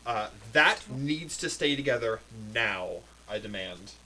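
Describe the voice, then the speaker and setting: A man's voice: mid-range voice, expressive, a little slow. A man playing a starship captain in a tabletop game, giving orders between hesitant fillers. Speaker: player. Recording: faint noise.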